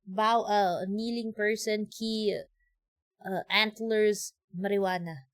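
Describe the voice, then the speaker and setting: A woman's voice: medium pitch, fairly even intonation, slow pace, slight background noise. A Filipino-accented woman on a casual gaming livestream, playful and thinking aloud as she plays. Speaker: game streamer. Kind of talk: casual live gaming stream, reading game dialogue aloud. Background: game music.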